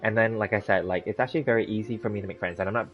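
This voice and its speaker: Lowish guy streamer rambling to chat, lots of likes and ums, kinda muffled lo-fi audio. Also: very fast, faint music behind. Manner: rambling, chatty, lots of fillers and restarts; laughs easily while storytelling. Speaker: gaming streamer. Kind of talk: casual livestream chat with viewers.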